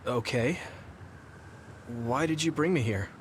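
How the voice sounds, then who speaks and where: A man's voice: mid-range voice, animated, takes it slowly, a little hiss or hum. An actor playing an earnest, questioning young male soldier in a video game cutscene. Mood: puzzled, earnest. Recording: music behind the voice.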